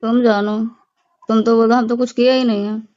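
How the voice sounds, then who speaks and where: A woman with a fairly high voice argues defiantly and indignantly. She is curt and firm in a heated personal quarrel. The recorded call sounds dull and lo-fi. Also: level tone, fairly quick, a little mellow. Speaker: caller in a personal phone argument. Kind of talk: heated private argument.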